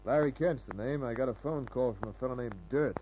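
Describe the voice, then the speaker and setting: An actor playing a wry, tough private detective in old-time radio drama, dry and laconic. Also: male voice, medium pitch, fairly quick, lo-fi sound, faint music behind, natural voice. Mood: hard-boiled, observant. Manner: dry, hard-boiled, laconic.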